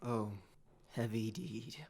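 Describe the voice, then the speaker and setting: A man's voice: medium pitch, flat delivery, slow, a little mellow, slight background noise. A man playing a troubled, commanding king in a Shakespearean audio drama, declaiming formally. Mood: dismayed.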